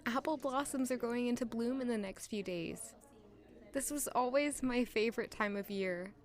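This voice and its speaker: A woman's voice: a little high, normal speed, lightly acted. A woman playing a reserved, modest and wistful young palace maid in an audio drama, with a polite, understated way of talking. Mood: gently reassuring. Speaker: narrator and lead character of audio drama. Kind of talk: audio drama acting with first-person narration.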